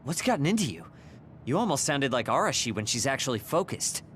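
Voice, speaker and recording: A man's voice: medium pitch, very animated, fairly quick, a bit bright, slight background noise. An actor playing a blunt, prickly young man in an anime video game dub. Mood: questioning.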